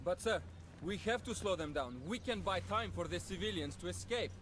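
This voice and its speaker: Young lieutenant, earnest and respectful, high for a man, game drama, noisy outdoor setting. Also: moderate pace, fairly bright tone. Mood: urgent pleading. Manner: urgent, respectful. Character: earnest young Soviet lieutenant pleading with superior. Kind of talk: dramatic video game cutscene acting.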